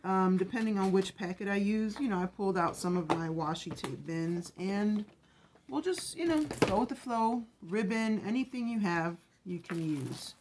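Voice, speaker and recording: A woman's voice: medium pitch, expressive, normal speed. A woman hosting a casual craft tutorial, chatty and rambling, her voice scratchy from the early morning. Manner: chatty, rambling, self-correcting. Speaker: craft channel host.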